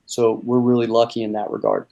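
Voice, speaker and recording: A young man from North Carolina, a strength and conditioning coach answering interview questions in a relaxed way, with plenty of fillers and repeats. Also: medium pitch, brisk, gravelly, lo-fi sound.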